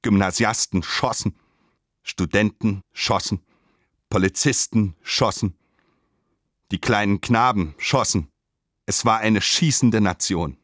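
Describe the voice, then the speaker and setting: A man narrates a literary radio drama in a measured, declamatory way with emphatic phrasing. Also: fairly low pitch, a bit bright, no echo, clean studio sound.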